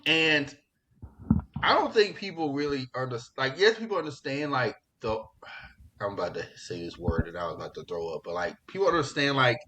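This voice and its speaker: A man hosting a podcast in casual pop culture chat, talking in an excitable, slangy, profane way. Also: medium pitch, a little fast, background noise. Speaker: podcast host.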